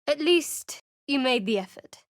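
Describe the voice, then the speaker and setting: Sarcastic young cleric from a game, cool and guarded, slightly high voice, dead dry sound. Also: female voice, lively intonation, a little slow, no echo. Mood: wry. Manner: dry, cutting wit with cool reserve. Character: guarded, sardonic young woman cleric adventurer. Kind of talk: video game voice lines.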